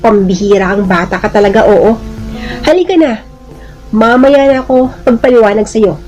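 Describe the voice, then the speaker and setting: A woman's voice: on the high side, normal speed, darkish tone, lo-fi sound, natural voice. Woman voicing an urgent, protective stepfather. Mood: surprised, chiding. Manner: urgent, familiar, chiding. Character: protective, exasperated stepfather on a motorcycle. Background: music.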